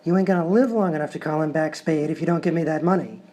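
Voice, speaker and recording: A man's voice: a little high, fast talker, dark tone, a little hiss or hum, close to an everyday voice. A man playing a tough, impatient escaped gangster who talks in old-time crook slang in a live radio comedy sketch. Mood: menacing, impatient. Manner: 1930s gangster slang, threatening. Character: menacing escaped male gangster, big, impatient tough guy.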